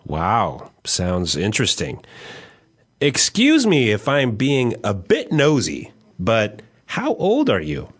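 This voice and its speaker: A man acts out a friendly, inquisitive taxi driver of forty in a lesson dialogue. He speaks slowly and carefully, with big rises and falls in pitch. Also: huge pitch swings, exaggerated voice. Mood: curious. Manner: slow, exaggeratedly clear delivery. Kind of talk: acted language-lesson dialogue.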